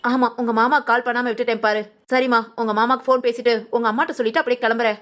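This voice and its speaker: A woman's voice: a little high, steady tone, rapid-fire, fairly bright tone, natural voice. A woman playing a caring but skeptical grandmother in a family audio drama, homely and chatty.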